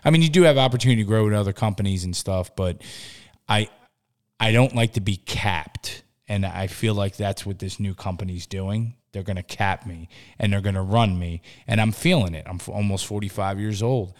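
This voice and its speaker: A man's voice: fairly low pitch, moderate pace. A man in his forties talking casually and tiredly about work, rambling and repeating himself, often saying bro. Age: 40s. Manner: rambling, repeats words, says bro a lot. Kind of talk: casual chat.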